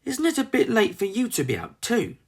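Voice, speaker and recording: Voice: mid-range voice, huge pitch swings, fairly quick, breathy edge, voice pushed up, heavily acted. A storybook narrator voicing a headstrong young girl chick, curious, cross and insistent.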